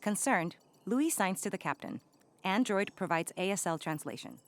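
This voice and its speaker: A woman's voice: medium pitch, lively intonation, moderate pace, lightly acted. A woman acting as the matter-of-fact narrator, reading stage directions in a scripted comedy play.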